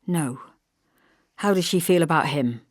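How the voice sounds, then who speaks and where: A woman's voice: low voice, unhurried, no echo, clean studio sound. A woman voicing an earnest, prickly young woman in audiobook dialogue, indignant, defensive and emphatic.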